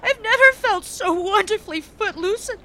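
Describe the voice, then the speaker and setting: A woman playing a very high-voiced, effervescent young socialite. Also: lively intonation, pushed and bright, a little hiss or hum, full character voice, poor audio. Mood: wistful. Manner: breathless, flustered, genteel, wordy, halting. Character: effervescent upper-crust 1920s young socialite, wistful, playful. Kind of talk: period audio drama acting.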